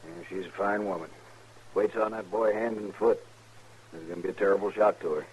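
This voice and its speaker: A man's voice: low-pitched, fairly quick, close to an everyday voice, rough recording. A man playing an earnest, regretful American bank manager in a frontier town, in 1950s radio western style. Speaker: radio drama actor. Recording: old radio recording with faint noise.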